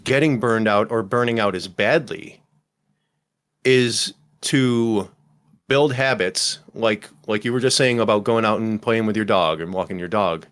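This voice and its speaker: A man's voice: on the low side, measured pace, a bit bright. A man hosting a podcast in casual chat, rambling and self-deprecating, with many restarts. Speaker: podcast host.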